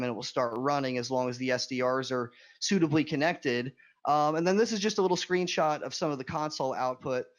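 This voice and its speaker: American guy walking through a tech slide talk, medium pitched voice, informal presenter style. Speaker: conference presenter.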